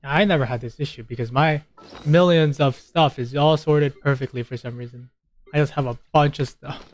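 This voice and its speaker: A man's voice: medium pitch, normal speed. A man giving casual, off-the-cuff commentary on a game he plays, with hesitant, dryly contrarian asides. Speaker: gamer commenting on playthrough. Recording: game music behind the voice.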